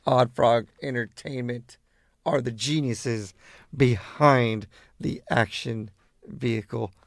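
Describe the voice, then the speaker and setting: Slow, gravelly male radio DJ. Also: mid-range voice, steady tone, no room sound, studio quality. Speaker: radio disc jockey. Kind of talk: laid-back radio chat between records. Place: garage.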